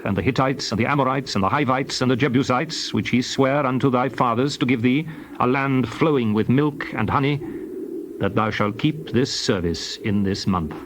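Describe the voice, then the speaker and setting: A man giving a solemn, dramatized scripture reading in a measured, declarative manner. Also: medium pitch, slight background noise. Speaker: scripture reader.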